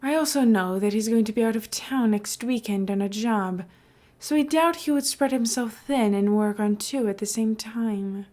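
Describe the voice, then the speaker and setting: A woman's voice: on the high side, moderate pace, a little mellow, lightly acted. A woman voicing a glib, scheming male mayor in an audiobook, with a nonchalant, playful and emphatic delivery.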